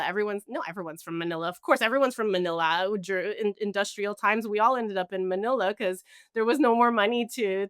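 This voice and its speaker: A woman's voice: mid-range voice, a bit bright, no echo, clean studio sound. A woman guest on an interview show, talking reflectively with many fillers and restarts. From Canada. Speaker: guest, mental health counselor. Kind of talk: conversational interview.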